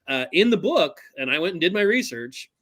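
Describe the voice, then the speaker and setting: A man's voice: on the high side, big pitch swings, brisk, a bit bright. A man appearing as a guest on a casual interview, explaining his creative choices in a storytelling way. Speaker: guest comic book creator.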